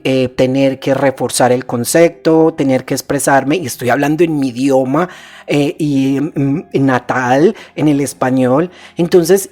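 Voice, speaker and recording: A man, a psychologist hosting his own show, talking gently and steadily with some hesitations and restarts. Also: mid-range voice. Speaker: psychologist host. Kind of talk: calm advice talk.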